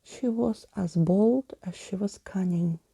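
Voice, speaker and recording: A woman reading a children's story aloud in a soft ASMR style, often dropping into whispers. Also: mid-range voice, slow pace, creaky, slightly rough, dry recording.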